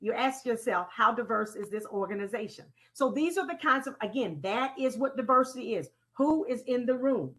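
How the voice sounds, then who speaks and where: A woman leading an interactive training talk in a deliberate, teacherly way, putting questions to her audience and repeating key phrases. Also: on the high side, expressive, a bit bright. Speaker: presenter leading a training session. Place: online conference call with slides.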